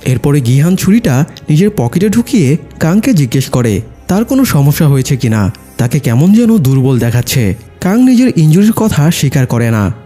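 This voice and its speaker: A man reads a scripted story recap in a fairly high voice. His pitch moves in lively swings, and the tone has a husky edge. Music plays underneath the narration. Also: normal speed. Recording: music behind the voice. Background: background music.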